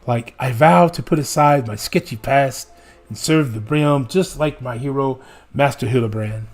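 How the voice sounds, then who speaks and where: A man reading aloud as an eager, chatty reformed male thief turned guard, in a rough put-on dialect. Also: mid-range voice, a little mellow.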